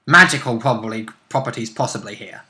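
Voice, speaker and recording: A man's voice: mid-range voice, fairly quick, bright, forceful tone. A man giving casual, chatty commentary as the second commentator on a show.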